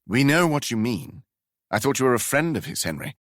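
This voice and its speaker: A man's voice: medium pitch, very animated, fairly quick, a bit bright, no room sound, clean studio sound, voice pushed up. A man voicing a blunt team colleague in a plain, level voice.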